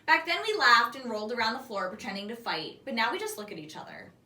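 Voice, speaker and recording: A woman's voice: fairly high pitch, very animated, fast talker, bright, forceful tone. A woman reading a children's book aloud expressively, in the style of a teacher. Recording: echoey room, distant microphone.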